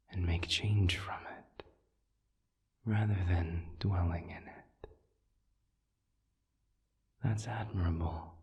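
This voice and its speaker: A man voicing a calm, wise demon counselor in an intimate audio roleplay, soft and breathy, speaking in measured phrases with deliberate pauses. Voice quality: breathy, whispery, very low.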